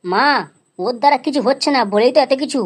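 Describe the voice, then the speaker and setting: A woman's voice: high voice, animated, fast, a little hiss or hum. A woman playing a brazen, unrepentant young village wife in a comedy cartoon, blunt and defiant. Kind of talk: Bengali comedy cartoon dialogue. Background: background music.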